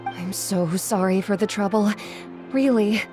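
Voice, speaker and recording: An actress playing a gentle, polite female traveller in an anime video game, hesitant and apologetic in manner. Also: medium pitch, measured pace, natural voice. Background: music.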